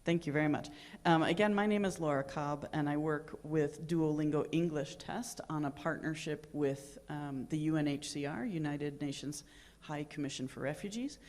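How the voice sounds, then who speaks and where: A woman's voice: sits low, normal speed, darkish tone. A woman moderating a conference panel, hesitant and full of ums and restarts. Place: conference room with live and virtual audience.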